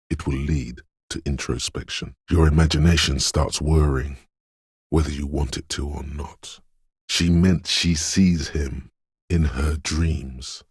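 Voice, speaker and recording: An actor voicing the eerie, melancholic inner voice of a detective's intuition in a video game, husky, poetic and ominous. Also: male voice, extremely low pitch, dry recording, clean studio sound, full character voice. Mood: musing, eerie. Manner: ominous, poetic, deliberate delivery. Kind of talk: video game voice acting, second-person dramatic narration.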